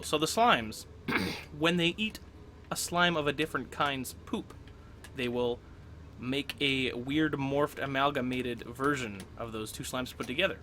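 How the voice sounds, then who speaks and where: A young man hosting a casual gameplay commentary, chatty and upbeat, getting over a cold and clearing his throat often. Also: medium pitch, pushed and bright, slight background noise. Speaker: let's play host.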